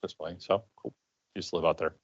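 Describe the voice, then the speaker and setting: A man's voice: sits low, fairly even intonation, brisk, breathy edge. A man co-hosting a meetup, casually running introductions for a group, on a dull, muffled recording. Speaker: meetup co-host.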